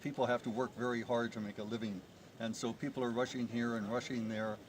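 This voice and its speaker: An enthusiastic middle-aged man from the United States speaks outdoors in the mountains, at an ordinary pitch for a man. His voice is descriptive and warm with interest. Clear background noise runs under an open-air recording. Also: level tone, quick pace. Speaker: tourist guest. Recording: noisy outdoor ambience.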